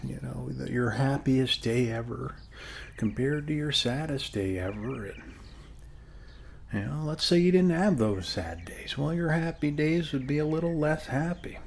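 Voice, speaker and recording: Slow, creaky-voiced man in soft ASMR chat. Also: mid-range voice, lively intonation, a little hiss or hum. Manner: soft-spoken, friendly, unhurried, pausing often. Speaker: ASMR performer playing a friendly neighbor. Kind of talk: ASMR roleplay, one-sided casual chat over coffee.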